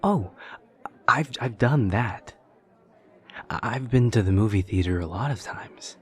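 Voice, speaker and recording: A man playing an awkward, literal-minded demon college student in an audio roleplay, speaking in a halting, formal, earnest way. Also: on the low side, expressive, breathy edge. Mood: earnest, thoughtful. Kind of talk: roleplay audio drama acting.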